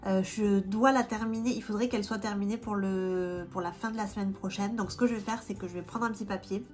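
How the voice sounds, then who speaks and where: A woman's voice: medium pitch, fairly even intonation, a little fast, faint music behind. A woman in her thirties with a cold, chatting casually in a vlog, with many fillers and restarts. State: stuffy, has a cold. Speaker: vlogger and independent language teacher.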